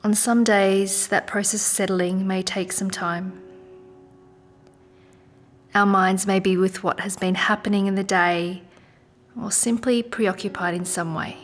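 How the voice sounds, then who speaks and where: A woman leading a guided meditation in a soft, gentle, soothing manner with unhurried pauses. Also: medium pitch, steady tone. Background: music.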